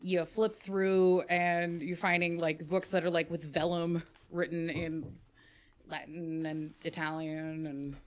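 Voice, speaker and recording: A woman's voice: on the low side, flat delivery, slow pace. A woman narrating as game master in a casual, profane way, full of filler words.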